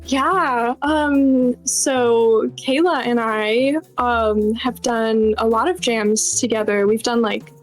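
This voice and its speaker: A woman's voice: fairly high pitch, fairly even intonation, slow pace, hardly any pauses, soft background music. A young woman chatting casually as an interview guest, with a bubbly, enthusiastic manner. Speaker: game developer guest.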